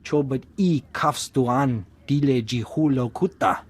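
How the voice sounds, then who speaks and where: An alien henchman in a sci-fi game. His voice is raspy and mid-pitched as he talks in an invented language. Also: a little slow, a little mellow. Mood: brusque. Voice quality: husky.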